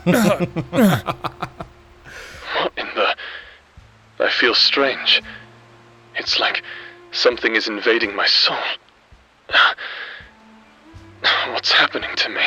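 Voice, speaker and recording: A man playing a proud, analytical captive mage in an audio drama, speaking in a measured, formal, brooding monologue. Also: mid-range voice, animated, sharp tone, husky, full character voice, poor audio. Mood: alarmed.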